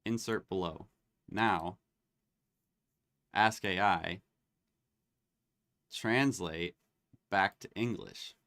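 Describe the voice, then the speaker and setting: A man hosting a live online demo, chatting casually and getting excited as he tests tools. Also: deep voice, drawn-out words, gravelly, long pauses, no echo, studio quality. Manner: excitable, laughs and exclaims while testing tools.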